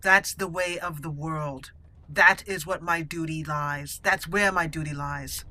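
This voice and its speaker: A woman's voice: sits low, steady tone, a little slow, pushed and bright. A woman doing let's play commentary, reading game dialogue in character in a theatrical way, with game music behind her. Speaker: gaming video host, roleplaying a character aloud. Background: video game music.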